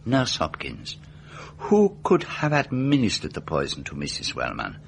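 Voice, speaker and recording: An actor playing a meticulous, self-assured detective in a radio mystery drama, setting out a case deliberately with rhetorical questions. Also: male voice, sits low, wildly swooping pitch, creaky, slight background noise, exaggerated voice. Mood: measured, revealing.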